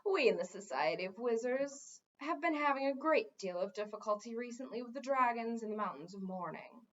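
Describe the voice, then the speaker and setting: A woman voicing a smooth, pushy, lecturing male head wizard in a children's fantasy story. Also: on the high side, lively intonation, brisk, a bit bright, highs cut off, slight background noise. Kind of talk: children's fantasy audiobook reading.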